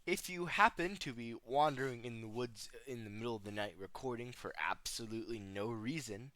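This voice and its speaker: Bright, forceful man giving flippant commentary. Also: mid-range voice, normal speed, dry recording. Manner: sarcastic, flippant, slangy. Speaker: video host reacting to analog horror. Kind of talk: casual, joking commentary with asides.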